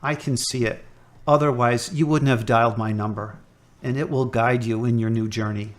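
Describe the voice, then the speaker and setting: Man as calm hospital priest, measured counsel, amateur audio drama. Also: medium pitch, lightly acted. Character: gentle middle-aged male priest. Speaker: hospital chaplain.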